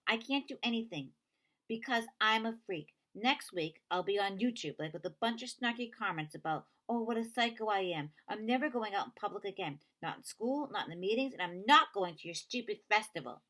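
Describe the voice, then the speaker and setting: A woman's voice: medium pitch, animated, brisk, a bit bright, voice pushed up, lightly acted, low-quality recording. A woman reading a children's novel aloud, voicing an upset, bitter boy who speaks in exasperated, insistent outbursts. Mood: agitated, despairing.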